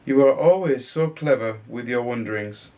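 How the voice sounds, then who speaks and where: A man's voice: mid-range voice, moderate pace, a little mellow, natural voice, low-quality recording. A man reading a storybook, voicing scornful older brothers who tease their sibling in a fairy tale. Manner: scornful, teasing.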